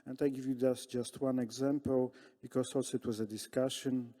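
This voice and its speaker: A man with a Polish accent answering as a conference panelist, halting with many fillers and restarts. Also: mid-range voice, little pitch movement, mellow. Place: large conference hall. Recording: long hall reverb.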